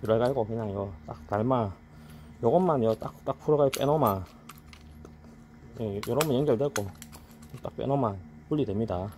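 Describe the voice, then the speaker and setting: A man's voice: medium pitch, moderate pace, pauses often, a little mellow. A middle-aged man explaining farm machine repair while he works outdoors, in a blunt, colloquial way that slips into complaints. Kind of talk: casual how-to explanation while working. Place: outdoors on a gravel farmyard. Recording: outdoor ambient noise.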